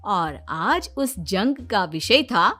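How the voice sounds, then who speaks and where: Bright-voiced woman narrating a cartoon. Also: medium pitch, animated, slow pace. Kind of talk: scripted comedy cartoon story narration.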